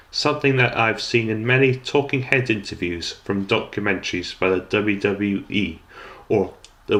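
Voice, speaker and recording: A man's voice: fairly low pitch, fairly bright tone. A young man reading a scripted, reflective commentary in formal wording, stumbling now and then as he reads. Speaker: student documentary maker.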